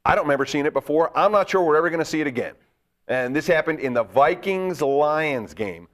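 A man hosting a sports betting show, with a gravelly voice and an animated, chatty delivery. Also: a little high. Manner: animated, talks up his bets with quick asides. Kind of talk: casual sports betting talk.

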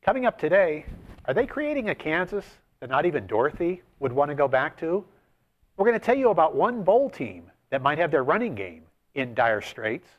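A man's voice: a little high, very animated, normal speed, highs cut off. A man co-hosting a sports betting show, explaining his analysis step by step. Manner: explanatory, builds an argument step by step.

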